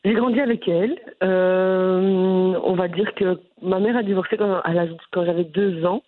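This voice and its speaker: A woman from Brussels with a Brussels French accent, answering interview questions in an animated, frank way with frequent restarts. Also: lowish voice, measured pace, dry recording. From Brussels, Belgium. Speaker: content creator guest. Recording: phone-line audio.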